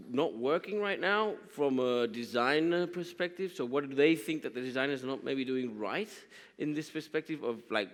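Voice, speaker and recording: A man's voice: medium pitch, fairly bright tone. A man moderating a live panel discussion as its chair, speaking to an audience. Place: conference hall, before an audience. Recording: echoey hall with long reverb.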